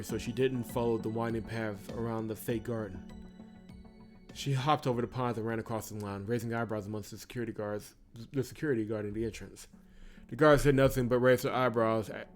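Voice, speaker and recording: Man reading game narration over loud music. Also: lowish voice, steady tone, fast talker. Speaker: host of a visual novel playthrough. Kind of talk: reading game narration aloud with casual reactions.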